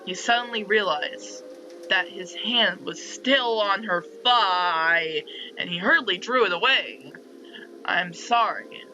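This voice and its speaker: A man's voice: sits high, takes it slowly, pushed and bright, soft background music, low-quality recording. A man narrating a visual novel's text aloud, dropping in casual asides as a game host.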